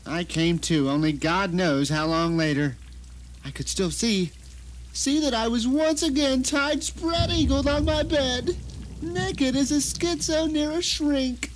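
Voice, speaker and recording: A man acting a high-voiced, bitter captive narrator. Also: rough recording. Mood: wry, tense. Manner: melodramatic, sardonic, deliberate phrasing. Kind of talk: dramatic first-person audio drama monologue.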